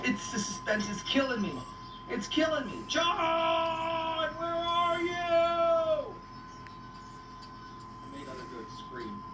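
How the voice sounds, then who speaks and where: Lively woman livestreaming from a noisy farm. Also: very high voice, takes it slowly. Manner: playful, teasing, talks to viewers and animals. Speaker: livestream host on a goat farm. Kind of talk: casual livestream chat. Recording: echoey, noisy farm livestream, distant mic.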